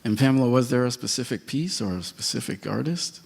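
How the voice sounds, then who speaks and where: Guy moderating a panel, gravelly voice, short questions, echoey auditorium. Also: mid-range voice, expressive, fairly quick. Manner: brief, prompting questions. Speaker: museum director, moderator. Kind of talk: moderating an onstage conversation. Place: museum auditorium. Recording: long reverb of an auditorium.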